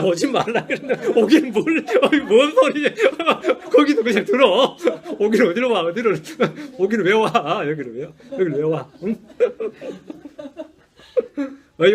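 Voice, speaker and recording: A male pastor giving a Sunday sermon in a church, conversational and self-deprecating, often tossing in quick rhetorical asides to the congregation. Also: high-pitched. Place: church sanctuary.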